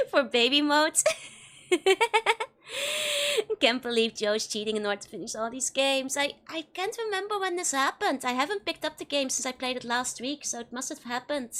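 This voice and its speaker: A woman's voice: sits high, bright, forceful tone. A woman with a Dutch accent chatting casually while streaming a game, giggling often between remarks. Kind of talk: casual live gameplay chat.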